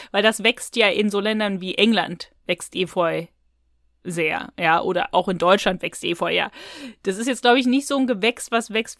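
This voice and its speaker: A woman's voice: medium pitch, fairly bright tone, dry recording, clean studio sound. A woman co-hosting a podcast, chatting casually and retelling things in a colloquial, rambling way. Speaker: podcast co-host. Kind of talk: casual podcast chat, reviewing audio dramas.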